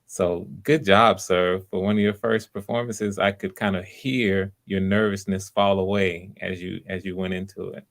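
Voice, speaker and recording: A man with a fairly low voice hosts live auditions. He talks casually and with enthusiasm, giving encouraging feedback in a loose, conversational way. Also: little pitch movement, moderate pace, few pauses.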